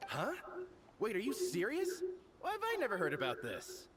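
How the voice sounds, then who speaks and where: Animated, high-voiced mercenary guy. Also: normal speed, a loud crowd. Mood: incredulous, indignant. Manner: emphatic, incredulous. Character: excitable, aggrieved, rough young male mercenary. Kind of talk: anime-style video game voice acting. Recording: game audio with loud music behind.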